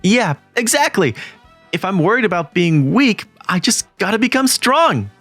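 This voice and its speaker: An earnest, eager teen schoolboy, voiced by a man narrates a manga story. His pitch swings widely in a dry, close recording. Soft music runs under him. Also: very animated, moderate pace, dry recording, exaggerated voice. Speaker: narrator and lead. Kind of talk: manga voice-over acting.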